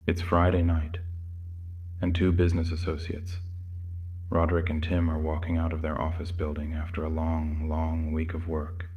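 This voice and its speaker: A man narrates a scripted horror audio tale. His voice is very low and stays level and flat, carrying the story in a steady line. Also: moderate pace, highs cut off.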